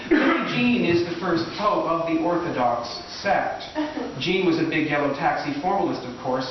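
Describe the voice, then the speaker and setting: Male poetry reader, higher-pitched, mock-scholarly delivery, dull distant live recording. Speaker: poetry performer. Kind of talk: scripted poetry reading, mock-academic lecture. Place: live reading in a gallery. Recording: echoey room, distant dull mic, clear background noise.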